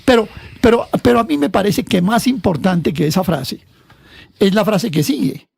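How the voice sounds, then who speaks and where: Guy on a radio panel, really gravelly and husky, explains slow and steady. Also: high voice, no room sound. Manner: measured, explanatory, citing figures. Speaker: panel commentator.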